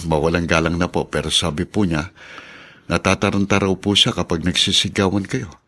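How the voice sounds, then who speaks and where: Brief: humble Filipino dad, very low voice, forceful edge, politely earnest, radio drama. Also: male voice. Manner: deferential, earnest, formal politeness. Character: respectful working-class father pleading for a runaway boy.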